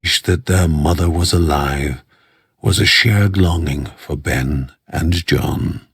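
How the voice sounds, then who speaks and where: A man playing a solemn storyteller, narrating a fantasy game like a storybook legend in measured, scripted phrases. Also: very deep voice, breathy edge, full character voice. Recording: music behind the voice. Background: music.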